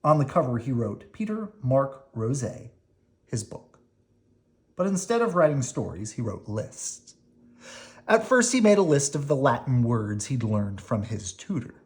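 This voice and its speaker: A person reading a children's picture book aloud expressively, with dramatic emphasis, pauses and brief explanatory asides. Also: mid-range voice, very animated. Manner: dramatic emphasis, pausing between phrases.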